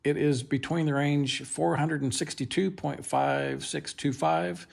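A man reviews a product in an off-the-cuff, casual way. His voice has a gravelly fry to it. He talks plainly and haltingly, as if working through features at home in his kitchen. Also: on the high side, steady tone. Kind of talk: casual unscripted product demonstration.

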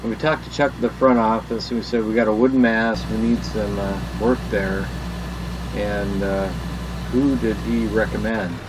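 A man, casually narrating a sailing vlog in a relaxed, conversational way. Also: fairly low pitch, normal speed, noisy background.

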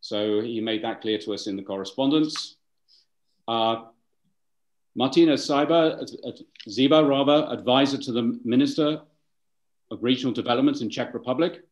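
A man's voice: medium pitch, moderate pace, poor audio. A man moderating a webinar on a video call, giving formal welcomes and introductions, speaking with some fillers and restarts.

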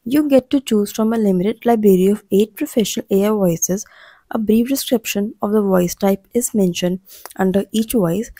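A woman reads a scripted explainer as a channel narrator, in a measured way that sets out lists item by item. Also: mid-range voice, mellow, no echo, studio quality.

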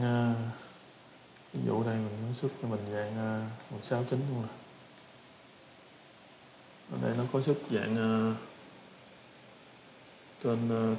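A man with a Southern Vietnamese accent casually talking through a software tutorial step by step, halting and repeating himself as he improvises. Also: mid-range voice, a little hiss or hum, poor audio. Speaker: tutorial instructor.

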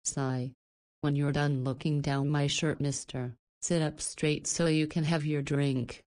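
A woman's voice: sits low, fairly even intonation, unhurried, darkish tone. An actress playing a caring, flirty woman in a visual novel, chiding and teasing like a doting guardian.

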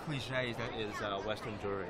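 A man in his thirties presenting a casual live stream, with halting phrasing and repeated words. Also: on the low side, steady tone, a loud crowd. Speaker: live stream reporter and host. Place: large indoor exhibition hall. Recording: clear noise of busy expo hall. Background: busy trade fair ambience.

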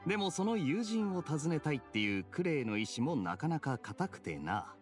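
An actor playing a suave, teasing young knight in an anime game, laid-back and wry. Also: male voice, on the high side, sing-song, moderate pace, soft background music. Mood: persuasive. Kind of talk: Japanese anime game acting.

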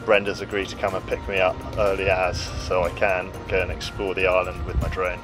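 A man's voice: deep voice, monotone, brisk, over music. A man talking casually about his days at sea while sailing a small boat solo, chatty and upbeat with some hesitations. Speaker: solo sailor vlogger. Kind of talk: casual vlog narration. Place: aboard a small sailboat.

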